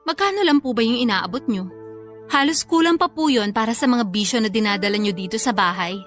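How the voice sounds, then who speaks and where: A woman's voice: on the high side, expressive, brisk, a bit bright, soft background music. A woman playing an outspoken young working daughter in a radio drama, with a Filipino accent, polite but defiant. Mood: exasperated, reproachful. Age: young adult.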